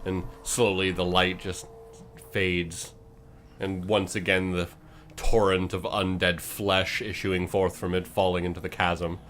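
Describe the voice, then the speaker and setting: A man improvising tabletop game narration as game master, building scenes in halting fragments. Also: low-pitched, level tone, a bit bright, slight background noise.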